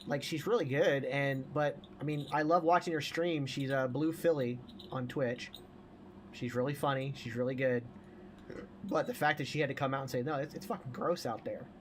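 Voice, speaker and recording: A man's voice: medium pitch, normal speed, long pauses, slight background noise. A man chatting casually as a podcast host, profane and opinionated.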